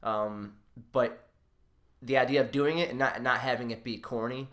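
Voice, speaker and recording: A man's voice: medium pitch, fairly even intonation, moderate pace, a bit bright. A man hosting a casual podcast, rambling and repeating words as he works his way toward questions. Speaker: podcast host. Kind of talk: casual, unscripted interview chat.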